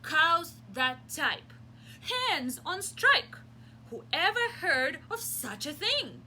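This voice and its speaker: Woman voicing a high, exasperated grumpy storybook farmer. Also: very animated, sharp tone, slight background noise, heavily acted. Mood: indignant. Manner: emphatic, demanding, drawn-out delivery. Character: grumpy, exasperated male farmer in a picture book.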